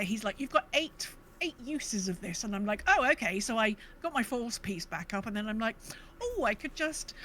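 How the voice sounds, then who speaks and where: A woman's voice: mid-range voice, sharp tone, slight background noise, low-quality recording. A woman co-hosting a podcast in a casual, chatty way. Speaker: podcast co-host. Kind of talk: casual gaming podcast chat.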